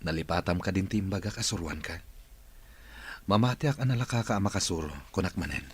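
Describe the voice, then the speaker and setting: Deep, husky ailing boss. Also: male voice, fast. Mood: guarded, decisive. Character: ailing wealthy boss, male, guarded and decisive. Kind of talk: radio drama acting.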